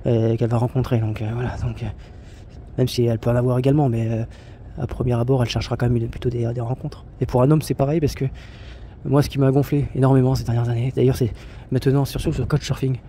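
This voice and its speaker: A man's voice: mid-range voice, little pitch movement, quick pace, dark tone, a little hiss or hum. A man talking casually about solo travel, rambling with many fillers, restarts and blunt slang. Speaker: travel vlogger. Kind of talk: casual spoken monologue.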